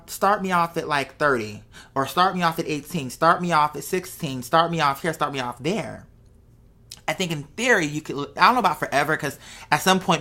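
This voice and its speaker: A woman hosting a show, talking casually and unscripted to her followers, musing out loud with rhetorical questions and checking in with the listener. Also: lowish voice. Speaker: host of a live show.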